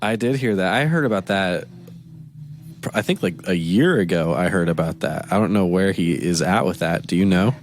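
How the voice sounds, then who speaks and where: Deep-voiced man rambling in casual chat. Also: moderate pace. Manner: rambling, animated storytelling with quoted exchanges. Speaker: podcast co-host.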